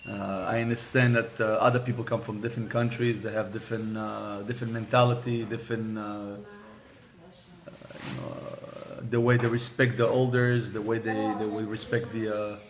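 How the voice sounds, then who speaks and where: A man's voice: fairly low pitch, level tone, normal speed, noisy background. A man from Israel whose first language is Hebrew, answering interview questions as a car sales manager, hesitant and full of restarts and fillers.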